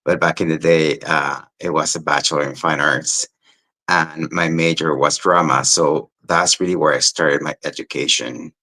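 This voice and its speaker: A theatre director from Mexico answers questions in a very low, deep voice. He speaks reflectively and pauses on fillers while he gathers his thoughts. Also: steady tone, bright, forceful tone. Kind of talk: interview answers.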